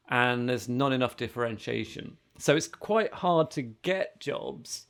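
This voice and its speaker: A man's voice: mid-range voice, gravelly. A man chatting casually as a podcast host on a remote call. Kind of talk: casual chat. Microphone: remote video call setup with headphones.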